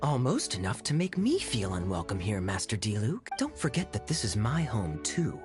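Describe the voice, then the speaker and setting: Game cavalry captain: suave, teasing, theatrically sly, highly varied melody. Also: male voice, wildly swooping pitch, normal speed, music in the background. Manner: smooth, playful, theatrically sly. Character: suave, teasing, silver-tongued young cavalry captain, male. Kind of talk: video game character dialogue.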